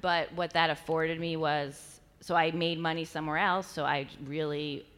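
A woman from New York speaking as a guest at a live panel. Her voice is quite low for a woman, and she keeps a dry, self-deprecating, matter-of-fact tone. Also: fairly even intonation, moderate pace, fairly bright tone. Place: stage before a live audience.

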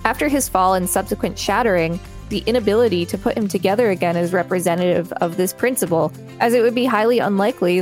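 Female podcast co-host, medium pitch. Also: darkish tone. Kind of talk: reading an encyclopedia article aloud with casual commentary.

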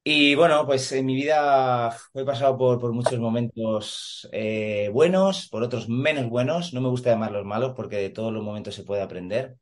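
A man's voice: medium pitch, normal speed, hardly any pauses. A man in his forties from Madrid, a podcast guest speaking earnestly over a video call, hesitating often with fillers and repeated words. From Madrid, Spain. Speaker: podcast guest, police officer and emotional coach. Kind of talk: casual conversational interview.

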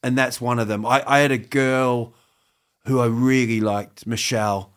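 A man's voice: mid-range voice, fairly even intonation, clear, resonant tone. Self-mocking English comedian in his fifties. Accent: English with a bit of New Zealand. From England. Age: 50s. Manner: rambling, halting restarts, jokey asides with put-on voices. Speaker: comedian, interview guest. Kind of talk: candid, self-mocking interview chat.

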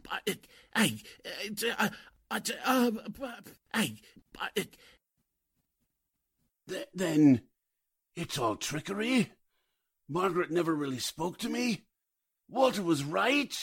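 An actor playing a gullible, bewildered victim of a charlatan in a 1940s-style radio drama, stammering and faltering. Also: male voice, sits high, sing-song, takes it slowly, pauses often, fairly bright tone, husky, heavily acted. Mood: stunned, crushed.

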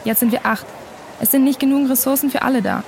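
A cheeky young female student activist acted by a woman talks in slangy, opinionated style with strong vocal fry. Faint outdoor noise sits behind her, as in a naturalistic radio play. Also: high-pitched, steady tone, quick pace, a little mellow. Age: young adult. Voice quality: creaky. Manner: slangy, wry.

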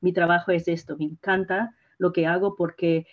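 A woman's voice: on the low side, level tone, moderate pace, narrow, tinny audio. A native Portuguese woman with a Portuguese accent giving conversational interview answers as a podcast guest, effusive and searching for words. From Portugal, born in Mozambique. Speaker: podcast guest, hotel PR and social media director.

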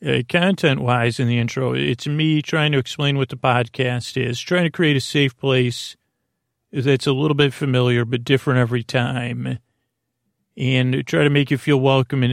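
A man with a creaky voice hosting a sleep podcast in a lulling, friendly, meandering and halting way. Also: medium pitch, steady tone, no echo, studio quality. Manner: lulling, meandering, circular tangents. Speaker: podcast host. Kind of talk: rambling bedtime sleep podcast monologue.

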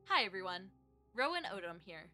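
A woman's voice: medium pitch, very animated, normal speed, sharp tone. A woman reading scripted promotional narration as a podcast announcer. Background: music bed.

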